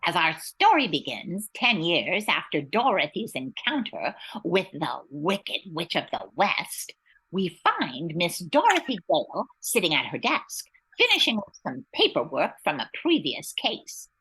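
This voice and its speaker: A woman's voice: fairly low pitch, very animated, measured pace, a bit bright, slightly rough, full character voice, rough recording. A woman playing a formal, easily exasperated announcer and narrator in an old-time radio show. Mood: storytelling.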